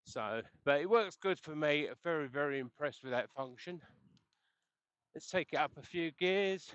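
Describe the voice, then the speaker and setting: Man, slightly high voice, chatty cyclist talking through a dull headset mic on the road. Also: animated, normal speed, fairly bright tone, clear, resonant tone, dry recording. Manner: rambling, chatty, chuckles often. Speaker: cycling vlogger. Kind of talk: casual chat while riding. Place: outdoors on a bike on the road. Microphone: helmet intercom headset mic.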